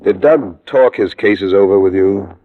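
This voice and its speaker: A man's voice: sits low, unhurried. A man acting as a tough, world-weary insurance investigator with a clipped American delivery in a 1950s radio drama. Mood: somber, careful. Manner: clipped, hard-boiled. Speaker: actor in a radio detective series. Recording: muffled, noisy vintage radio recording.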